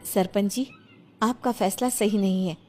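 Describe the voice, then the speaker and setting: A woman's voice: medium pitch, unhurried, mellow, faint music behind, natural voice. Defiant young daughter-in-law, voiced by a woman. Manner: firm, resolute, earnest.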